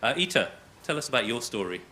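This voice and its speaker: A man's voice: mid-range voice, big pitch swings, quick pace, pushed and bright, slight background noise. A man acting as MC of a panel discussion, affirming and summarizing what the speakers say before handing on. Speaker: MC and panel moderator.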